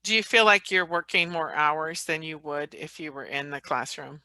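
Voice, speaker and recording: A woman interviewer asking gentle, attentive questions. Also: sits low, normal speed.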